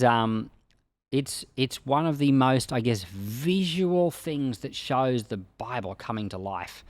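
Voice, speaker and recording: A man's voice: medium pitch, no echo. A man speaking as a pastor, reading and explaining scripture in a plain-spoken, explanatory way. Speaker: pastor and Bible podcast host. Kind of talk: scripture reading followed by informal devotional commentary.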